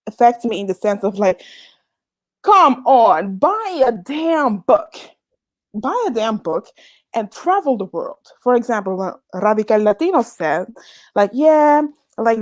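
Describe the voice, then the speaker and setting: A woman's voice: fairly high pitch, big pitch swings, measured pace, no room sound. A woman from the Dominican Republic, a guest in a heated casual debate, who talks with animation, laughs mid-sentence and restarts words.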